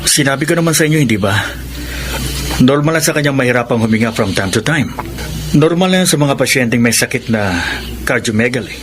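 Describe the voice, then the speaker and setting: A Filipino actor playing a calm, clinical male doctor explaining things in a Tagalog radio drama. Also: mid-range voice, fairly quick, noisy background, natural voice. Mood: reassuring. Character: calm, matter-of-fact male heart doctor and family friend. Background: music.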